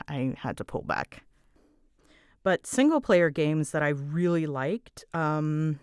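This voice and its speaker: A low-pitched woman talks in a very dry, close recording. She rambles casually through answers for her audience, candid and halting, often interrupting her own sentences to find the right words. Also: no room sound. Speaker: channel creator answering audience questions.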